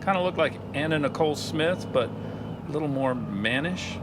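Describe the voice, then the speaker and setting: A man's voice: fairly high pitch, animated, moderate pace, natural voice. Man playing a laid-back drifter, car noise behind. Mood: intrigued. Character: laid-back, wistful male drifter musician. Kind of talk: comedy audio drama. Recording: clear car and road noise.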